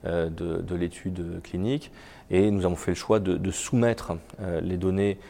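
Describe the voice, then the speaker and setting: A man with a cold, an executive answering interview questions in a halting way with many repeated words and fillers. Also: low-pitched, fairly even intonation, creaky, mellow. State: has a cold. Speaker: biotech company executive.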